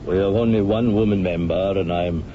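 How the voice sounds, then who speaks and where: A man's voice: sits low, fairly even intonation, normal speed, close to an everyday voice. An actor playing the oldest male member of a secret society, a genial, slightly flustered banker. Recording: muffled hissy old radio broadcast.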